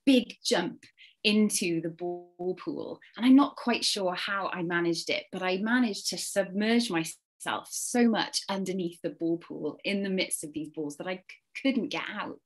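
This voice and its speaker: A woman from Wales with a slight Welsh accent, giving an earnest, expressive Easter talk to a church congregation. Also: lowish voice, unhurried. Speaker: church speaker.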